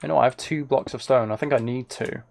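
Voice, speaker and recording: A man's voice: medium pitch, fairly even intonation, fast talker, vocal fry, darkish tone. A man chatting casually on a gaming livestream, rambling and thinking aloud as he plays. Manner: rambling, musing to himself while playing. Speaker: live streamer.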